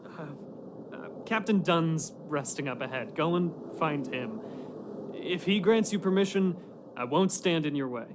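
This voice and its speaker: An actor playing a stubborn, casual soldier on guard duty in a video game, firm and colloquial. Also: male voice, on the high side, animated, a little slow, noisy background. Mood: businesslike.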